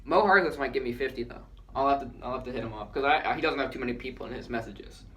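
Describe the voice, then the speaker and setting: A man co-hosting a relaxed sports talk show, chatting and joking as he runs through trades and counts down. His voice is bright and forceful. Faint room ambience sits behind him. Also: mid-range voice, rapid-fire, slight background noise. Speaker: podcast co-host. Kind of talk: casual sports chat.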